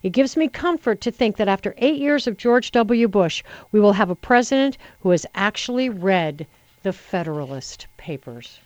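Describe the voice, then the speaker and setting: A woman hosting a call-in radio talk show, chatty and opinionated, talking briskly to callers. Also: mid-range voice, animated, a little mellow, no room sound. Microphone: studio microphone.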